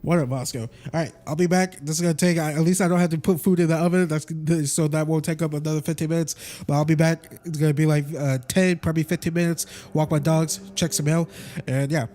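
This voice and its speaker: A man's voice: fairly high pitch, fairly even intonation, fast talker, warm tone. A man doing casual, slangy live gameplay commentary, thinking aloud as he plays. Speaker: video game livestreamer.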